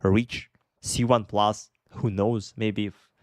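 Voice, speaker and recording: A man's voice: on the low side, level tone, slow, a little mellow, clean tone, no room sound, studio quality. A young man with a Russian accent, chatting casually as an English teacher answering viewers' questions live, often correcting himself and laughing between points.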